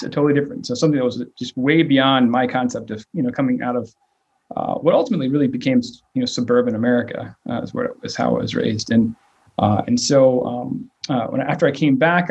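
Halting, hesitant man in his thirties. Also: mid-range voice, a little mellow, breathy edge, muffled recording. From Virginia / West Virginia area. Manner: hesitant, many fillers and restarts. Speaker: interviewee, nonprofit leader. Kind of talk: casual interview answers.

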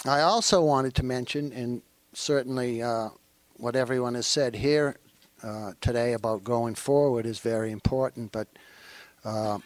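Slow, earnest man with a Maine accent. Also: medium pitch, no room sound. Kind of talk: panel remarks.

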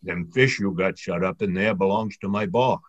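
A man's voice: low-pitched, normal speed, muffled recording, pitched below the performer's own voice. A man voicing a surly, cross old sea serpent.

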